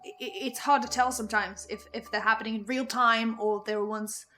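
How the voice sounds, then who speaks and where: High-voiced, haunted actress as a hesitant woman. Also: fairly even intonation, bright, forceful tone, faint music behind. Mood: anguished, halting. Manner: hesitant, halting, stammering. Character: haunted, guilt-ridden young woman with eerie powers, anxious. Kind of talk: naturalistic audio horror drama acting.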